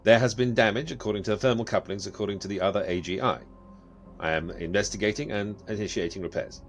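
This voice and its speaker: Low-voiced, methodical AI overseer played by a man. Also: steady tone, quick pace, faint music behind, lightly acted. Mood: formal, methodical. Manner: formal, procedural wording with hesitations. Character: polite male AI overseer, methodical and matter-of-fact.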